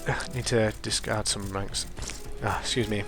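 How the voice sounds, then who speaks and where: A man's voice: lowish voice, moderate pace. Young male gamer, stuffed-up and sniffly, loose rambling commentary over faint background music. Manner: rambling, self-correcting, excitable reactions. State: congested, sniffly. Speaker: gaming commentator. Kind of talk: casual gameplay commentary.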